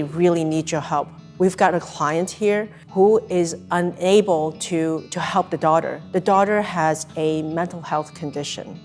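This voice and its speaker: Woman from Hong Kong, music behind her. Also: low voice. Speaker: volunteer attorney. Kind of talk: testimonial interview.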